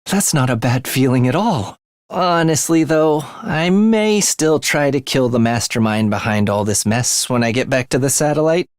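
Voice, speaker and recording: Man voicing a languid, morbid young killer, swooping pitch. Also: moderate pace, dry recording, studio quality, close to an everyday voice. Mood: languidly menacing. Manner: languid, menacing, breaking into gleeful excitement. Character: morbid young man, a soft-spoken killer giddy about death. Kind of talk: theatrical video game voice acting.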